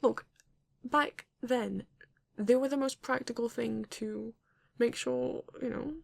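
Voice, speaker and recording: A woman playing a courteous, bashful vampire in an intimate ASMR roleplay, speaking haltingly and formally with a gravelly, creaky voice. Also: on the high side, steady tone, full character voice. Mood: defensive, explaining. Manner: hesitant, halting, formal yet endearing. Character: courteous, bashful vampire living alone in a castle.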